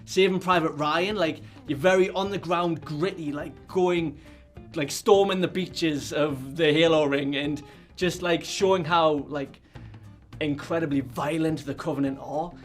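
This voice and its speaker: A man in a casual group chat about games, excitable and rambling, with lots of "like" fillers. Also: a little high, measured pace, soft background music. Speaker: podcast panelist.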